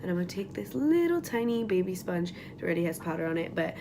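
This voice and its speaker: A young woman with a raspy morning voice chatting casually through a makeup tutorial at home, full of likes and ums and trailing off into whispers. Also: on the low side, very animated, quick pace, very noisy. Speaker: beauty vlogger. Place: at home, getting ready.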